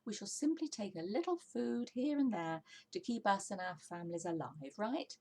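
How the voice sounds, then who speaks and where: A woman's voice: mid-range voice, animated, moderate pace, warm tone. A woman voicing a confident, bossy, jolly father fox in a children's storybook, exclaiming and giving orders. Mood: indignant, persuasive. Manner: exclamatory, commanding, persuasive. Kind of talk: children's storybook character reading.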